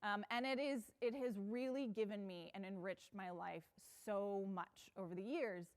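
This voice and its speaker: A woman's voice: medium pitch, fairly even intonation, normal speed. Earnest woman giving a conference talk. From United States (Pacific Northwest). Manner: earnest, personal, with fillers and restarts. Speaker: conference speaker. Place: conference stage.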